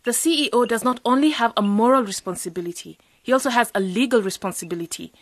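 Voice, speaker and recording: A woman's voice: a little high, fast, dry recording, lightly acted. An actress playing a principled, businesslike woman in a radio drama, direct and questioning. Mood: firm. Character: principled, businesslike female cooperative founder.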